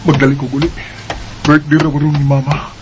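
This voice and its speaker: A man's voice: fairly high pitch, little pitch movement, brisk, background noise. A young man with a Cebuano accent narrating a radio drama earnestly and voicing its lead. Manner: earnest storytelling. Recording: music bed underneath.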